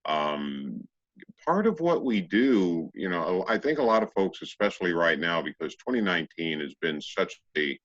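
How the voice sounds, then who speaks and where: A man with a deep, low voice speaks as a co-host in relaxed spiritual conversation. He is measured and reflective, choosing his words as he goes, on a dry and somewhat dull recording. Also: no room sound. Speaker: radio show co-host. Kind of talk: casual conversational talk about spirituality. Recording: dull, lo-fi audio.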